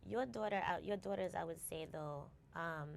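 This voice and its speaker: A woman's voice: lowish voice, normal speed, vocal fry, slight background noise. A woman, a guest reflecting in a casual interview and often checking in with "right?". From United States. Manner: reflective, tags phrases with "right?" and restarts words. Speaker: guest, company founder.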